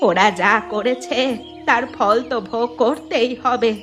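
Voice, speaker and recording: A woman's voice: a little high, unhurried, fairly bright tone. A woman voicing a kindly, moralizing old village grandmother in a cartoon. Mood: wistful, caring. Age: older. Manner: moralizing, affectionate. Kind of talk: cartoon dialogue acting. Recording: music behind the voice.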